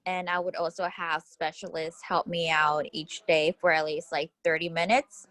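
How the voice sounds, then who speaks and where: A young woman from Houston, a Spanish speaker from childhood, answers questions earnestly and thoughtfully, sometimes restarting a phrase. Her voice sits a little low for a woman. The recording is dry and close, with no room sound. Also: little pitch movement, normal speed, fairly bright tone, no echo. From Houston, Texas. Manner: earnest, reflective, with small restarts. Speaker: interviewee. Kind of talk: casual interview answers.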